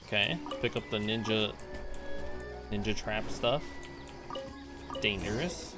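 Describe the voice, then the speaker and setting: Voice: medium pitch, takes it slowly, over music. A game streamer giving casual, informal playthrough commentary, muttering and reacting aloud.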